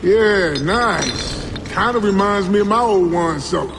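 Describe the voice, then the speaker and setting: An actor playing a wry, grumbling soldier in a video game squad, casual and sarcastic. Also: male voice, sits high, measured pace. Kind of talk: video game cutscene acting. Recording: game soundtrack with loud music.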